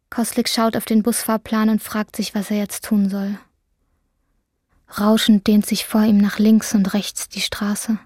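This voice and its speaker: A woman's voice: medium pitch, warm tone, dry recording, studio quality. A woman narrating a literary radio drama in a sober, detached manner. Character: detached, sober female storyteller.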